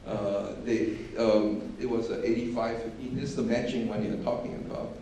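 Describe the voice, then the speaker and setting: Low-voiced man in a reverberant hall. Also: steady tone, moderate pace, mellow, a little hiss or hum. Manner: matter-of-fact, many restarts and fillers. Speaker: panelist. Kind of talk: panel discussion. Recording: echoey hall, distant mic.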